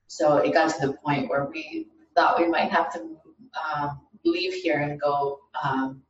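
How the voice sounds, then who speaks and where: A woman on an online call, heard through a muffled, roomy, distant mic, giving a casual, halting personal check-in. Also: deep voice, steady tone, a little hiss or hum. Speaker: participant in a group call.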